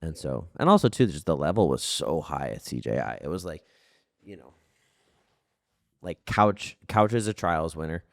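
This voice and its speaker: Low, monotone man in casual chat. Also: gravelly, dry recording, clean studio sound. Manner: monotone, unhurried. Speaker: podcast guest, grappling competitor. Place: podcast studio.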